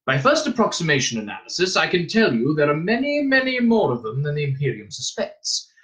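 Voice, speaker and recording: A man as a shrewd old mentor, animated. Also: a little high, a little fast, voice pushed up. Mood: confiding. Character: shrewd, gruff old male mentor and adviser.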